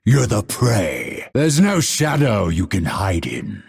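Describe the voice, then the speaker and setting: Grim game assassin, low husky voice, deliberate n menacing, proud exile out for revenge. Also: male voice, huge pitch swings, heavily acted. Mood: menacing, defiant. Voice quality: gravelly, raspy. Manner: theatrical, deliberate, ominous. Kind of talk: dramatic video game voice lines.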